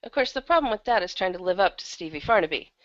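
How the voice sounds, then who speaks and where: A woman's voice: medium pitch, animated, fast talker, a bit bright, no room sound, highs cut off. A woman talking casually as a guest in an interview about her work as a producer. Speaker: guest, audio drama producer.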